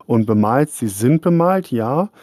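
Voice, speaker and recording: A man's voice: mid-range voice, lively intonation, unhurried, darkish tone, no room sound, studio quality. A man hosting a casual hobby podcast, chatty and rambling, often laughing. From Germany. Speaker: podcast host. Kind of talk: casual chat.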